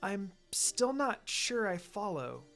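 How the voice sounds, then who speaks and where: Casting: a reader playing a supportive, questioning ninja girl, gentle and animated, music behind. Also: mid-range voice, takes it slowly, vocal fry, full character voice. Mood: curious. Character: Hinata, supportive, curious young girl ninja.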